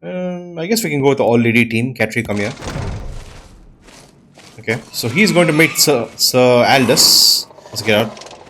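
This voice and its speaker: A man's voice: medium pitch, expressive, normal speed, pauses often, pushed and bright, a little hiss or hum. A man giving casual commentary as he plays through a video game, talking through it in an everyday way. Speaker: gamer narrating a playthrough.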